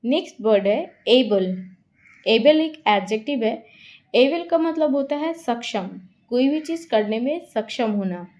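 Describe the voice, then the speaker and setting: A woman teaching English vocabulary in Hindi, repeating each word and example sentence slowly to explain it. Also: on the high side, expressive, a little mellow. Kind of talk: slow explanatory lesson.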